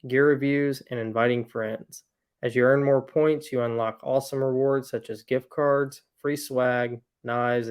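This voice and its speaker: A man reading a sponsor ad in an upbeat promotional way. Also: medium pitch. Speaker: ad reader. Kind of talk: read sponsor ad.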